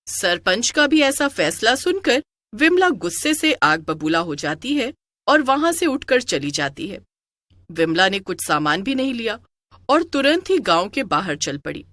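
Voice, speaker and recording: Female storyteller: crisp forceful tone, close dry sound, dramatic scripted narration. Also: mid-range voice, sing-song, unhurried, dry recording.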